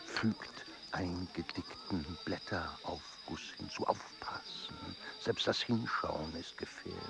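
A slow, gravelly poison maker. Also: male voice, low voice, exaggerated voice, rough recording. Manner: slow, instructive, chuckling. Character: sly male poison maker, amused and knowing. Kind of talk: radio play acting.

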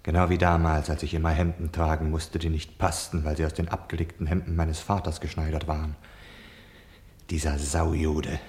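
An actor playing a rigid, contemptuous young German officer in a radio play, with curt, commanding military phrasing. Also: male voice, a bass voice, flat delivery, normal speed, darkish tone. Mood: bitter brooding.

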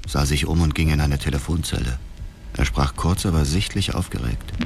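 An actor playing a grief-haunted, obsessive man who narrates a radio crime drama in a brooding, measured way. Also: extremely low pitch. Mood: haunted, grim. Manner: brooding, measured first-person telling. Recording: music behind the voice.

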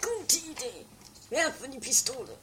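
A performer playing a bossy, excitable woman with dramatic exclamations in an improvised trash horror-comedy radio play. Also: high-pitched, measured pace. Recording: noisy lo-fi 1980s amateur recording.

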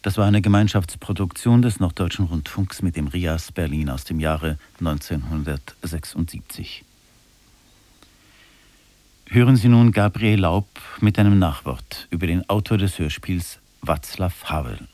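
A man reading scripted broadcast credits as a radio announcer, formal and measured. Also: low voice, dark tone, no room sound.

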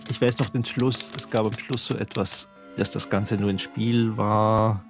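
A man thinks back on a past stage production, speaking in a musing, hesitant way. His voice is low, flat and gravelly, with clear music playing behind him. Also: unhurried. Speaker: interviewee recalling a theatre production. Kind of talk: reflective spoken recollection.

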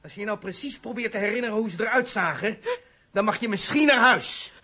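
A man playing a stern, insistent police detective in a radio drama, with a husky voice. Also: high voice, fairly quick, sharp tone, exaggerated voice. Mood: pressing. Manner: insistent, interrogating. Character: stern, pressing police detective interrogating a suspect. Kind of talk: Dutch radio drama acting.

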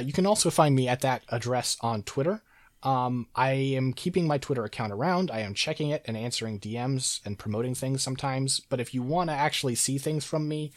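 A man's voice: medium pitch, no echo, clean studio sound. A man hosting a gaming podcast while sick, his throat sore and his voice going. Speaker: podcast host. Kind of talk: casual gaming podcast chat.

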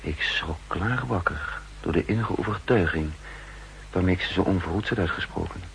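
An actor playing a brooding undercover double agent who narrates his story in measured, introspective Netherlands Dutch, in a 1960s radio drama. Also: low-pitched, vocal fry, fairly bright tone, poor audio. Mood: alarmed, inward. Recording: hissy 1960s radio recording.

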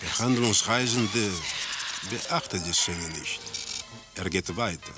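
An actor playing a detached male narrator in a radio drama, describing surreal scenes in a measured, scripted way. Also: lowish voice, over music.

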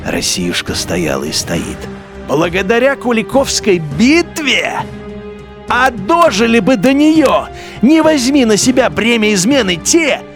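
A man's voice: extremely high pitch, very animated, a little slow, over music, heavily acted. An actor playing a Russian collaborator officer who justifies his treason in a radio play inner monologue, brooding and self-justifying, swinging between murmurs and outbursts.

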